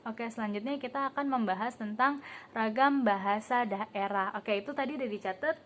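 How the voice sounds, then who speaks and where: A young woman student teacher leads a peer-teaching lesson in a classroom, her voice sitting a little high. She keeps it casual with filler words, tossing questions to the class and naming students to answer. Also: normal speed. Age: young adult. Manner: asks the class questions and calls on students by name.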